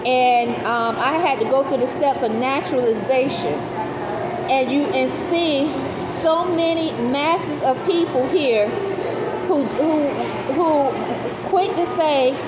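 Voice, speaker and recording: A woman's voice: high voice, reverberant, heavy background noise. A woman talking in a casual monologue, searching for words with many fillers and restarts. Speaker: speaker explaining beliefs. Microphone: phone.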